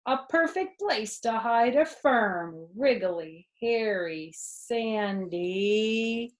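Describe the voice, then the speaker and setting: A woman's voice: a little high, extremely slow. A woman in her fifties, a grandmother reading aloud to children expressively, with simple asides and questions. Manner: expressive, explains words simply, asks listeners questions. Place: living room, indoors.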